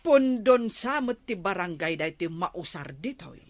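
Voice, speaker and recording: A woman's voice: fairly low pitch, very animated, measured pace, no echo. A woman with an Ilocano accent playing an exasperated, nagging village woman in a radio comedy drama. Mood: disapproving.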